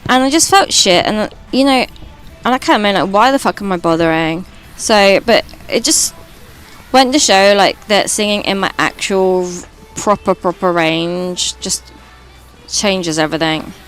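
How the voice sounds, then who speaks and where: A British woman with a high voice, streaming and chatting casually while gaming, rambling and swearing now and then. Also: a little slow, fairly bright tone, smooth, soft background music. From Britain.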